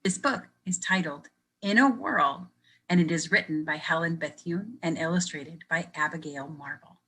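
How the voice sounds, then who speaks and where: Husky-voiced woman reading aloud to children. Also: low-pitched, moderate pace, rough recording. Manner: gentle, expressive storybook reading with questions to young listeners. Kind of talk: children's read-aloud with teacher commentary.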